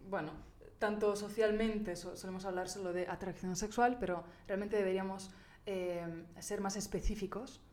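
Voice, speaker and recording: A woman's voice: mid-range voice, steady tone, moderate pace, a little mellow. Woman, hesitant and explanatory. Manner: thinks aloud, hesitant, with many fillers and restarts. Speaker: podcast co-host. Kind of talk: casual chat explaining research.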